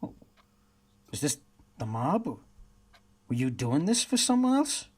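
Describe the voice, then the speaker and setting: A man playing a steady police detective in a radio play, firm and questioning. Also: on the high side, measured pace, slightly rough, heavily acted. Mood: probing.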